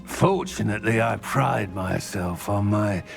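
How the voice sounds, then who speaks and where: An actor playing a sneering dark wizard crime boss, with a slow, gravelly and mocking delivery. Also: male voice, on the low side, faint music behind. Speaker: villain. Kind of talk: video game voice acting.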